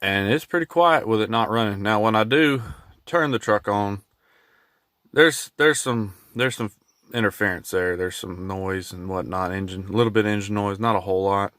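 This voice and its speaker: A man talks casually through a hands-on demonstration. His delivery is folksy and laid-back, halting as he goes, like an unscripted hobbyist vlog. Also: on the low side, a bit bright, no room sound, studio quality. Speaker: hobbyist vlogger showing his truck radio install. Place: in and around a pickup truck in a driveway.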